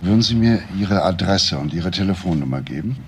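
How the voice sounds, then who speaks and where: A man playing a courteous, probing homicide detective in a crime drama, speaking in a measured, polite way. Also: low voice, animated, slight background noise. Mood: calm, measured. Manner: measured, polite, hesitant at times. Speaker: actor. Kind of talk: German TV crime drama dialogue.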